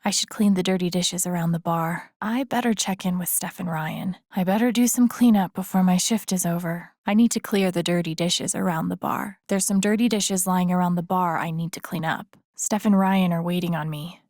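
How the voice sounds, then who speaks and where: Young game heroine gal, earnest n a bit wry, gravelly fry, close dry sound, kinda thinking out loud. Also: female voice, on the low side, fairly even intonation, moderate pace, hardly any pauses, dry recording. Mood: matter-of-fact. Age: young adult. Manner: introspective, understated. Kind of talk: intimate inner-monologue game voice acting.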